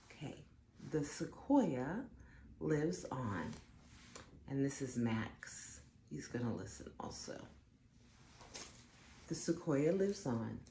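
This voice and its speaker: Woman, storybook reader, lively intonation, unhurried pace, echoey room with faint noise. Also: very deep voice. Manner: slow, expressive, deliberate phrasing for young listeners. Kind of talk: read-aloud of a children's picture book. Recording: roomy echo, faint background noise.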